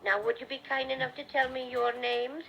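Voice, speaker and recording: A man's voice: very high-pitched, flat delivery, a little fast, gravelly, pushed and bright, slight background noise, exaggerated voice. An actor playing a small, cheerful male teddy bear with a slight Irish brogue in a vintage radio play. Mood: friendly. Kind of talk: 1930s radio play acting. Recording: dull lo-fi vintage radio recording.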